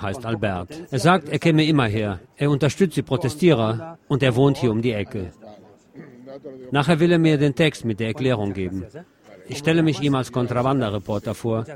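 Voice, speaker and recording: A man's voice: mid-range voice, expressive, moderate pace. A man narrating a street protest report as a radio reporter. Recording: crowd noise behind the voice. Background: protest crowd and street sounds.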